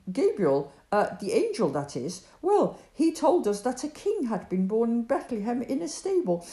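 A woman playing an eager excitable shepherd lad. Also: sits high, echoey room, pitched above the performer's own voice. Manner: breathless, eager, stammering when nervous.

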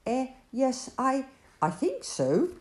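A woman voicing an excitable, eager young male shepherd who stammers when unsure, in a storybook reading. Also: high-pitched, slow pace, roomy sound, voice pushed up, full character voice. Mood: nervous.

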